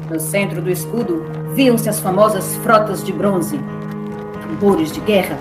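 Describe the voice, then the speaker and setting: Female narrator, dramatic scripted storytelling, middle pitch, echoey room sound, music behind. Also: a little slow.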